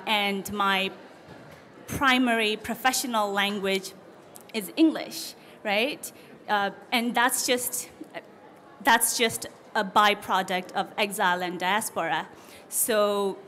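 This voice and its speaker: A woman's voice: medium pitch, a bit bright. Earnest young woman, native Tibetan speaker. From India. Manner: earnest, thinking aloud with frequent ums and restarts. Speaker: literary translator on a panel. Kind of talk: seminar panel talk. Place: book fair seminar room, seated at a panel table.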